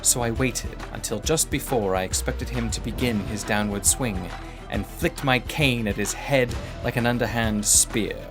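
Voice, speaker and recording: A man narrating a first-person adventure story in a measured, formal, literary manner. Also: mid-range voice, over music.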